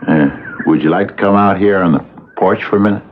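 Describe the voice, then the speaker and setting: An actor playing a soft-spoken scheming husband in an old-time radio drama, coaxing hesitantly like a hypnotist. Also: male voice, sits low, fast, background noise, close to an everyday voice. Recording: lo-fi vintage radio, organ-like music behind.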